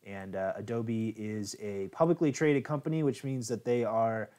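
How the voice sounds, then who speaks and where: A man's voice: lowish voice, normal speed, mellow. Casual American man hosting a livestream. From United States. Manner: casual, chatty, many fillers. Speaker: software tutorial host. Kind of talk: live-streamed photo editing tutorial.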